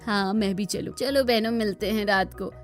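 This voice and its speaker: A woman's voice: medium pitch, steady tone, moderate pace, close to an everyday voice. A woman voicing an overworked young village daughter-in-law in a cartoon, complaining in rustic, gossipy phrases. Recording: music bed under the voice.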